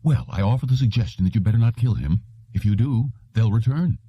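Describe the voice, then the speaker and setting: A man's voice: medium pitch, a little fast, dark tone, slightly rough, pitched above the performer's own voice, lightly acted. A man voicing a nervous, fretful, heavy-set businessman who muses and mumbles in an audiobook. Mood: cautious. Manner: mumbling, musing. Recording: roomy, dull lo-fi audio.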